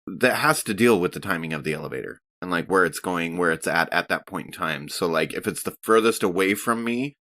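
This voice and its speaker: A man with a fairly low voice takes part in a tabletop role-playing game. His delivery is casual table talk, that of a player thinking through his options. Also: expressive, a little fast, hardly any pauses, sharp tone.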